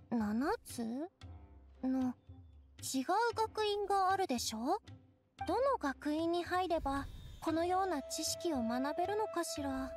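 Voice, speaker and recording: A woman voicing a thoughtful young child in an anime game, earnest and full of questions. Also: high voice. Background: game music.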